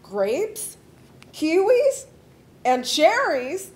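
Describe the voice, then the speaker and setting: A woman playing a bossy, sharp-tongued, mocking mission control boss in a comic screenplay table read. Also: extremely high pitch, wildly swooping pitch, extremely slow, exaggerated voice. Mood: mocking disbelief. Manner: brash, scolding, theatrical. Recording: table read with background noise.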